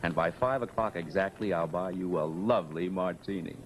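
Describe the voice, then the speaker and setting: A man's voice: deep voice, normal speed, lightly acted. An actor playing a breezy, blunt, skeptical man with colloquial speech in an old radio drama. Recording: noisy old radio recording.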